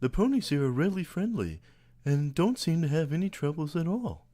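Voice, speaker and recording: A man's voice: on the high side, very animated, moderate pace, warm tone, voice pushed up. A man voicing a friendly, upbeat young male cartoon dragon in a cheerful, simple manner.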